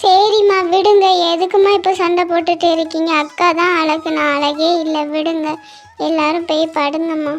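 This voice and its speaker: A woman's voice: very high-pitched, level tone, moderate pace, soft background music. A woman voicing a meek, self-sacrificing little sister in a cartoon story, in a plaintive, yielding manner. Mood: hurt, pleading.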